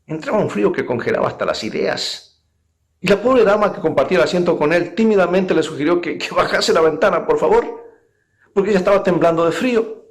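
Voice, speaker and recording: A man's voice: sits high, expressive, a little fast. A man preaching a sermon as a pastor, speaking earnestly and emphatically and addressing his listeners directly. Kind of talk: sermon with illustrative anecdotes.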